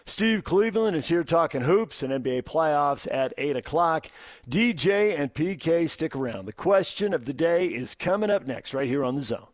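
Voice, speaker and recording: A man's voice: a little high, animated, normal speed. An American man hosting a conversational sports talk radio show.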